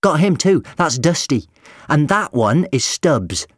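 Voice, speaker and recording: A man's voice: fairly high pitch, sing-song, slow pace, dry recording, studio quality, voice pushed up, heavily acted. A man voicing an eager, chatty young boy who is earnest about his toys, in an audiobook story. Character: eager, bright young boy, a robot-toy enthusiast.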